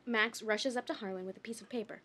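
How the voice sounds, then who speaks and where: A woman's voice: fairly high pitch, steady tone, quick pace, a bit bright. A woman hosting a podcast and narrating, moving between casual chat and read stage directions.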